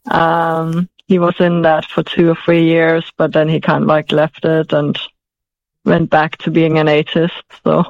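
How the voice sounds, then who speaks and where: A woman's voice: low-pitched, monotone, unhurried, vocal fry, darkish tone, dry recording, dull audio. A woman in her forties raised in Denmark, answering interview questions hesitantly with many fillers and restarts. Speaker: guest, author.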